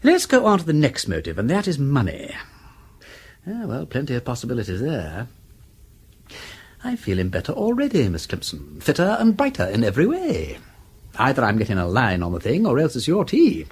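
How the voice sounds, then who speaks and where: An actor playing a breezy, gallant English aristocrat detective with an upper-class accent, foppish, chatty and self-deprecating, in British radio drama style. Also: male voice, medium pitch, wildly swooping pitch, moderate pace, husky, exaggerated voice. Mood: breezy, musing. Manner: foppish, chatty, hesitant politeness.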